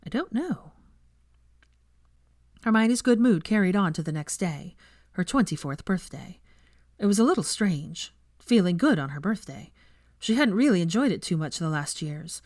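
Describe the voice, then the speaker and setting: Animated woman narrator with a lowish voice. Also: moderate pace, no room sound, studio quality. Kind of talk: expressive fan fiction audiobook narration.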